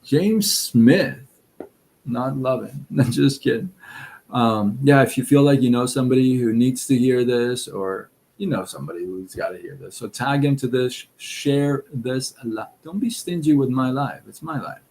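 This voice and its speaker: A middle-aged man from Iran with a Persian accent, hosting a casual live stream of advice talk in a relaxed, rambling way full of fillers and restarts. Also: medium pitch, moderate pace, creaky.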